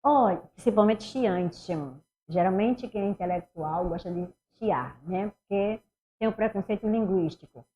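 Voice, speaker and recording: A middle-aged woman with a Northeastern Brazilian accent, teaching an animated online exam-prep class and talking students through each step. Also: medium pitch, measured pace, a little hiss or hum. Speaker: Portuguese teacher. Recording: somewhat dull lo-fi sound.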